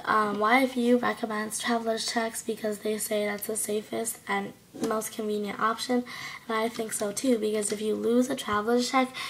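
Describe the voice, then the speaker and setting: A teenage girl with a hoarse voice from a cold, rambling casually with many fillers into a laptop webcam in her bedroom. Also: medium pitch, slight background noise, poor audio. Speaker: exchange student vlogger. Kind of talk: casual video diary. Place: small bedroom indoors at night. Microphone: laptop webcam at close range.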